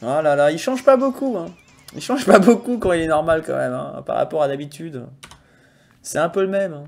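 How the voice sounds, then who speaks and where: A man's voice: a little high, normal speed, vocal fry, faint music behind. A young man with an accent from the Sarthe, streaming a video game from a small room at home and chatting to viewers on a headset mic, mixing mock-outraged rants with laughter. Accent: Sarthe French. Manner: mock-outraged ranting, laughing often, bursts of loud excitement. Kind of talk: casual livestream chat while playing a video game.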